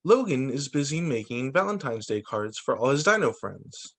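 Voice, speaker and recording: A man who teaches young children, reading picture books aloud in an expressive, sing-song storytime delivery. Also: mid-range voice, moderate pace. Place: remote video call story session.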